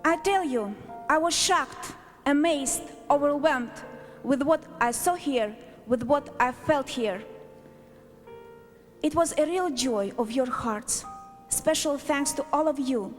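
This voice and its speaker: Woman from Azerbaijan, high voice, slow delivery, church testimony, short emphatic phrases. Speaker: church member giving a testimony. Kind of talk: prepared testimony speech to a congregation. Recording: echoey hall, long reverb, music.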